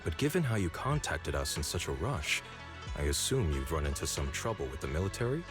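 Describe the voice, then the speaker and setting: A man playing a calm, formal and reassuring senior figure in an anime-style game. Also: very deep voice, a little fast, music in the background. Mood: calm, attentive.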